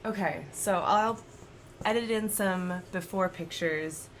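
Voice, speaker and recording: A woman in her thirties chatting at home about her stitching, slightly raspy from a cold and laughing often. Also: lowish voice, takes it slowly, slight background noise. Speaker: cross-stitch vlogger. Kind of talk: casual chat to camera. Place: home, indoors.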